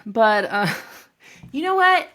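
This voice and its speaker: A shy, self-deprecating woman in middle age, speaking at a slow, unhurried pace while a head cold leaves her a little stuffy. She wanders through her thoughts with plenty of fillers and asides, recorded on her own microphone in a home office. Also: on the high side, sing-song, fairly bright tone. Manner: shy and nervous. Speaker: vlogger introducing her channel. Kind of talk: casual talk to camera.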